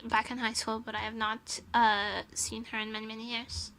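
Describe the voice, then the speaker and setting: A woman's voice: fairly high pitch, flat delivery, normal speed, bright, forceful tone, a little hiss or hum. A young woman from Portugal chatting casually on a live stream, answering chat and laughing often.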